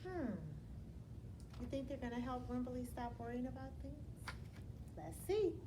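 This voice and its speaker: A woman reading a children's bedtime story aloud in a teacherly, expressive way, putting questions to her young listeners as she goes. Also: high-pitched, measured pace, slight background noise. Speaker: elementary school storyteller reading to students.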